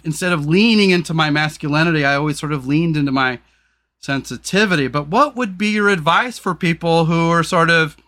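A man hosting a casual podcast conversation, talking frankly and swearing freely, rambling with lots of fillers. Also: bright, forceful tone. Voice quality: deep voice. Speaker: podcast host.

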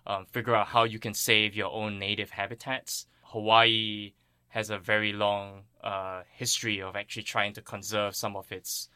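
A man's voice: on the low side, little pitch movement, normal speed, pushed and bright, clear, resonant tone, no room sound. A man from Singapore giving earnest, thoughtful explanations as an interviewed plant ecologist.

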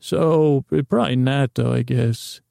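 Gravelly, creaky man rambling soothingly. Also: medium pitch, dark tone, no room sound, clean studio sound. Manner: meandering, halting, repeats and trails off into tangents; soothing, lulling. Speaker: podcast host. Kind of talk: rambling, improvised bedtime monologue.

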